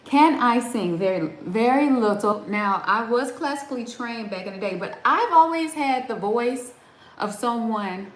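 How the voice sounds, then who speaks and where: A woman's voice: on the high side, expressive, measured pace. A middle-aged woman hosting a casual livestream, chatting and answering viewer comments, laughing often and restarting her sentences. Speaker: livestream host. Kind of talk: casual live chat reading viewer comments. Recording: roomy echo with faint background ambience.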